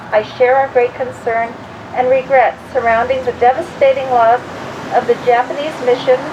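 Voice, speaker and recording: A woman with a fairly high voice reads a formal statement aloud. Her delivery is measured, with occasional stumbles over words. There is clear background noise in the recording. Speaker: community supporter reading a statement aloud.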